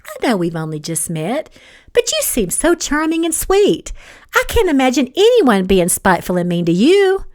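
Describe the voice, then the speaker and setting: A woman's voice: medium pitch, sing-song, moderate pace, natural voice. A woman voicing a guarded young female secretary in an audiobook, politely feigning innocence. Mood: fake-sweet. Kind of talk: audiobook character dialogue.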